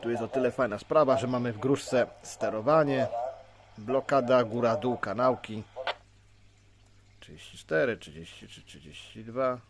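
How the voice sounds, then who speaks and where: A Polish man giving an informal, unscripted hands-on gadget demonstration as a hobbyist, explaining in a halting way. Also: mid-range voice, a crowd in the background. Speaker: CB radio hobbyist presenter.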